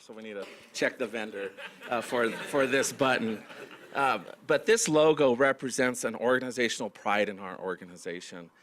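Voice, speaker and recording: A man's voice: mid-range voice, slight background noise. A man who is losing his voice, hosting a staff meeting as county manager and speaking to a large audience with many fillers and restarts. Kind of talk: opening remarks to a large audience.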